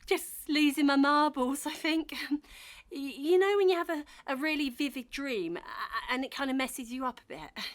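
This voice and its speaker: A woman as a high-voiced, hesitant, anxious bride. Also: natural voice. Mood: shaken, sad. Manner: hesitant, halting, informal. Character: hungover, anxious, wistful young bride on her wedding morning. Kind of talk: naturalistic gently comic audio drama acting.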